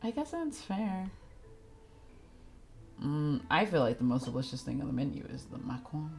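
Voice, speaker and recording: A woman's voice: low-pitched, big pitch swings, moderate pace, creaky, darkish tone, soft background music. A woman giving casual, reactive live commentary as she streams a game, talking informally.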